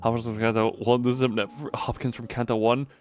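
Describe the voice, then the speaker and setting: A man streaming a game, giving casual, rambling live commentary with animated reactions. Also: medium pitch, fairly quick, faint music behind.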